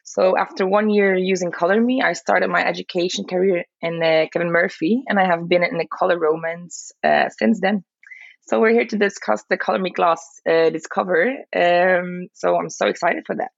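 A woman from Belgium speaks as an in a podcast interview. Her voice is fairly low and gravelly with creak, heard over a dull, lo-fi connection. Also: steady tone, moderate pace, no echo. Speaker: guest salon owner and educator.

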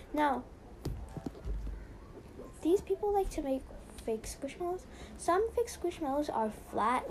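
High-voiced young woman hosting a podcast. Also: darkish tone, slight background noise, poor audio. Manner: chatty, rambling, lots of "so yeah". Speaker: podcast host. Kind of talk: casual rambling podcast.

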